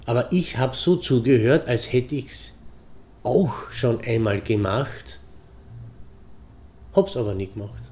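An actor playing a pensive middle-aged divorced horseman, reminiscing in deliberate, halting phrases. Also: mid-range voice, mellow. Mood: wistful, matter-of-fact. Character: pensive divorced hobby horseman fondly remembering a friend. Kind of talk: reflective anecdotal monologue in an audio drama.

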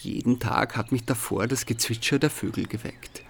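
A man's voice: mid-range voice, normal speed. A man reading a scripted first-person radio narration. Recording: clear background noise, field-recording ambience.

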